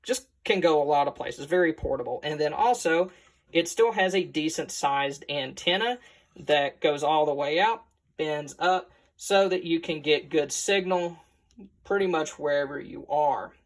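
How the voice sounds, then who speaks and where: A man's voice: a little high, a little slow. An American man hosting a casual, unscripted product review in a plain-spoken, folksy, explanatory way.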